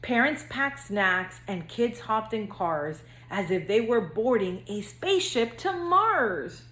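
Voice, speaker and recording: A woman school counselor speaking to young children in a gentle, singsong read-aloud storytelling manner. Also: mid-range voice, big pitch swings, slow, roomy sound.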